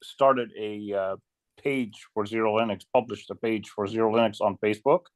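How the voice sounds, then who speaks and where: A man chatting casually on a video call, halting and often repeating his words. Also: lowish voice, steady tone, dry recording. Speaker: video creator and guest in a community video chat. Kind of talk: casual chat. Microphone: close microphone on a video call.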